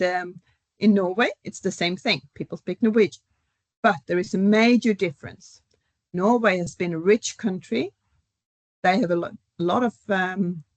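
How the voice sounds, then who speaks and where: A middle-aged professor, speaking as a guest in an academic panel discussion. She explains at a measured pace, with frequent fillers. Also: mid-range voice, a little mellow, no echo. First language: Swedish.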